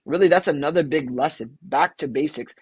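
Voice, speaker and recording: Energetic guy from the philippines, kinda husky voice, dry close sound, just chatting on a podcast. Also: a little high, fairly quick, dry recording. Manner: energetic, talks in long flowing stories. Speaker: guest entrepreneur. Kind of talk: casual interview storytelling.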